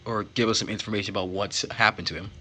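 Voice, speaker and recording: Bright-voiced man commenting on a game. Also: fairly low pitch, steady tone, fast, a little hiss or hum. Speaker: let's player. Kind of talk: casual gameplay commentary.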